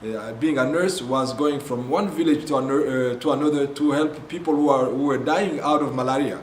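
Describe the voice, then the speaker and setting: A man from Congo with a Congolese accent, telling his ministry's story in a halting way with many pauses and fillers. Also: medium pitch, echoey room, a little hiss or hum. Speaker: ministry founder. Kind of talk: earnest spoken testimony.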